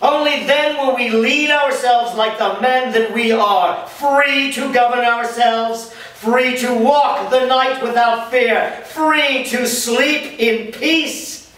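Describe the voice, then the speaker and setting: A man playing an impassioned military commander in a staged reading, declaiming solemnly with deliberate pauses. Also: very high-pitched, a bit bright, full character voice. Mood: impassioned, rousing. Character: impassioned male commander rallying his soldiers. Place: large bare room with small audience, indoors. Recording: very echoey room, distant mic.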